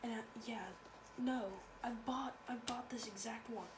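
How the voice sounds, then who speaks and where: A woman's voice: on the high side, steady tone, normal speed. A woman chatting casually and rambling, repeating phrases as a video blogger browsing at a home computer. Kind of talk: casual chat while browsing online. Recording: noisy amateur home recording.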